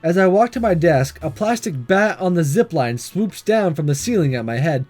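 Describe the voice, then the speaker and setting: Animated man narrating a game over music. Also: on the high side, moderate pace. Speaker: gaming video host reading a visual novel aloud. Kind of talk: animated read-aloud narration with casual commentary.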